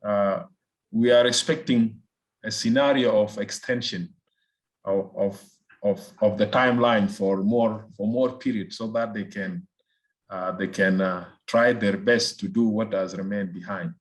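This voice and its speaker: A man with a South Sudanese accent answering as an expert guest in an interview, phrasing things deliberately and often repeating words as he goes. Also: on the low side, fairly even intonation. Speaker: research fellow, interview guest. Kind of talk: political analysis in an interview.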